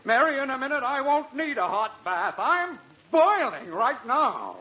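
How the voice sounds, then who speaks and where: A man's voice: very high-pitched, very animated, measured pace, exaggerated voice. An actor playing an exasperated, fussy middle-aged father in 1940s radio comedy style, indignant and sputtering. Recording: dull, noisy old radio recording.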